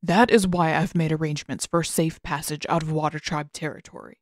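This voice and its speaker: A woman voicing a stern, reserved older male master of water magic in a formal, measured, unyielding manner for a story. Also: low-pitched, steady tone, dry recording, voice pushed down. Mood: firm, resigned.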